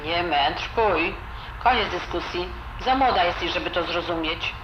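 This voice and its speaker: A woman's voice: on the low side, unhurried. A woman playing a blunt, bossy elderly grandmother in an audio drama, heard through a telephone filter. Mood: gruff, bossy. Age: older, grandmother. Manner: curt, commanding. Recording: muffled phone line, noisy, roomy.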